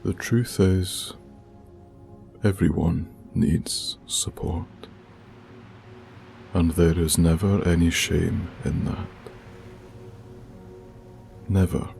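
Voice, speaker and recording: A man leads an ASMR guided meditation in a soft, hushed, soothing voice, speaking deliberately with long pauses. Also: sits low, steady tone, vocal fry. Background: music with binaural beats.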